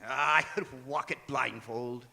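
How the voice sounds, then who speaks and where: An actor playing a fearful, regretful old miser in a theatrical radio drama, stammering and exclaiming, with music under him in a reverberant hall. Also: male voice, mid-range voice, measured pace, creaky, sharp tone, exaggerated voice. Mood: amazed. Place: performing arts center stage.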